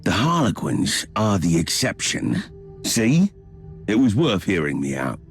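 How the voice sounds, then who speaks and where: A man's voice: lowish voice, wildly swooping pitch, measured pace, heavily acted. An actor playing a sly, scheming male inquisitor in a video game, with an urbane, wry, theatrical delivery. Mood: wry, persuasive. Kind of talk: dramatic video game cutscene acting. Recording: music behind the voice.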